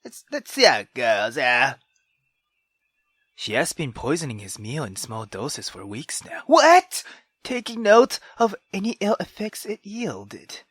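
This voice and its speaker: Male let's player, informal and expressive, unhurried delivery with lively pitch, reading a story game aloud. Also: slightly rough, no room sound, studio quality. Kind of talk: reading a visual novel aloud with reactions.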